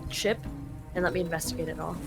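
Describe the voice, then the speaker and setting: A woman's voice: fairly low pitch, fairly even intonation, fast talker, vocal fry. A woman from Canada casually translating a game live, paraphrasing loosely with lots of fillers. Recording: game music behind.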